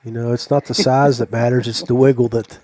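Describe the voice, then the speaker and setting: A middle-aged American man hosting a casual podcast chat in a halting, folksy, familiar way. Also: medium pitch, flat delivery, darkish tone, no echo. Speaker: podcast host.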